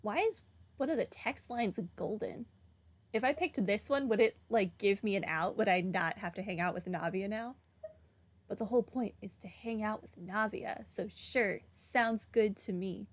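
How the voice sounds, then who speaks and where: A woman's voice: medium pitch, normal speed, no echo. A young adult woman streaming a video game, giving casual, chatty playthrough commentary. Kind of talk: casual playthrough commentary, reading game dialogue options aloud.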